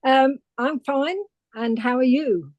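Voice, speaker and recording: An older woman from England, recounting her career as a guest on a podcast interview in a measured storytelling way. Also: high-pitched, darkish tone, no echo, dull audio. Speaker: guest paleontologist.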